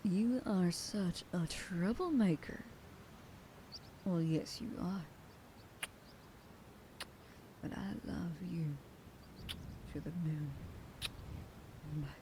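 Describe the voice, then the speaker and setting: A woman voicing a protective werewolf sheriff girlfriend in an ASMR roleplay, scolding softly and often whispering. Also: on the low side, slow pace, pauses often, background noise. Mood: teasing. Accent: Western US. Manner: soft-spoken, half whispered scolding.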